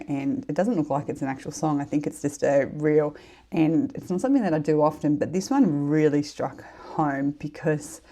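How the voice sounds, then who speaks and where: A middle-aged woman with a head cold, hosting her own podcast in a casual, earnest, rambling way. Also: deep voice. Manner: warm, earnest, rambling with fillers. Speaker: podcast host. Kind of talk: casual solo podcast chat.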